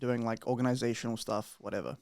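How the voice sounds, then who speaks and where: Young male podcast host, casual and joking, lots of swearing, dry close sound, mid-pitched voice. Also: level tone, fast, a little mellow, dry recording. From Romania. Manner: profane, joking, rambling. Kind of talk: casual chat.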